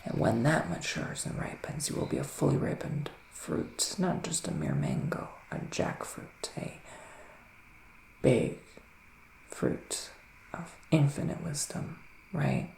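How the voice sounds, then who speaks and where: A man speaking softly and often whispering in an intimate ASMR roleplay as a gentle psychic witch, with deliberate, soothing pauses. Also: on the high side, huge pitch swings, echoey room, a little hiss or hum, low-quality recording. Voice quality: soft, breathy, often dropping to a whisper. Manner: slow, deliberate, pausing, soothing delivery. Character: gentle male witch giving a psychic reading. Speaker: ASMR performer playing a psychic tarot reader.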